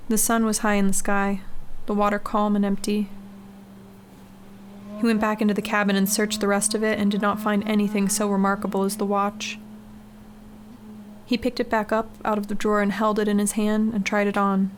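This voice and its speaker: A woman's voice: medium pitch, monotone, normal speed. A woman reading a scary short story as a scripted audiobook-style narration. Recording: clearly noisy background ambience.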